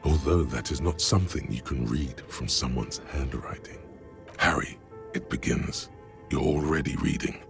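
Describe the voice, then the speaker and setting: A man acting as a brooding inner-voice narrator in a game, grave and intimate, with a husky, solemn delivery. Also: a bass voice, normal speed. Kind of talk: scripted dramatic game narration. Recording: music under the voice.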